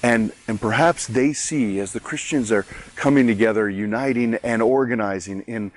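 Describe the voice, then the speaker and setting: A man's voice: on the low side, normal speed. A man, a pastor, giving a conversational daily devotional, explaining a Bible chapter with frequent restarts.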